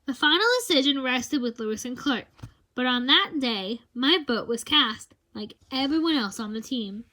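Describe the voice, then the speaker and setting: High-voiced woman reading a children's story. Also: expressive, moderate pace, sharp tone. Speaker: read-aloud storyteller. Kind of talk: expressive children's picture book read-aloud.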